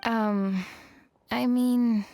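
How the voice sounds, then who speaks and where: Hesitant, self-doubting young woman, high-voiced. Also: steady tone, very slow, dark tone. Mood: reluctant, hesitant. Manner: hesitant, resigned. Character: discouraged, self-doubting young woman who failed flying trials. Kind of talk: video game voice acting.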